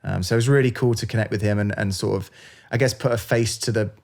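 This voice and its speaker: Gravelly-voiced man, chatty with many fillers. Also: on the low side, steady tone, quick pace, darkish tone. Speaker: video game composer, guest. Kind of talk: casual interview chat.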